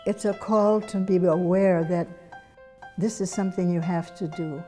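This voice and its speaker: Eighties woman, reflective, flat mellow delivery, faint guitar underneath. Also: lowish voice, normal speed. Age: 80s. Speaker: retired nun. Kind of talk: documentary interview. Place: indoor room with bookshelves.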